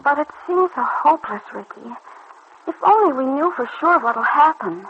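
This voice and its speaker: Vintage radio soap, muffled sound: earnest young sweetheart, tender, worried, halting. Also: female voice, high voice, lively intonation. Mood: anxious, tender. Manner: soft-hearted, hesitant, earnest. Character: tender, anxious teenage girl in love. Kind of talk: mid-century radio soap acting. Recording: muffled, noisy old radio recording.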